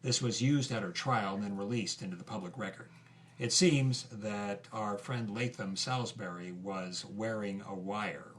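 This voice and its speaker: Actor as a low-voiced male storyteller. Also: normal speed, a bit bright, a little hiss or hum, lightly acted. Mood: intrigued. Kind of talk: conversational storytelling narration.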